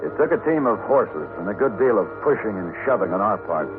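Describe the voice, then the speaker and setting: An actor playing a cool, dry-witted gunfighter for hire in a 1950s Western radio drama, wry, unhurried and self-assured. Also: male voice, on the low side, big pitch swings, music in the background. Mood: calm, wry. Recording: muffled, hissy old radio recording.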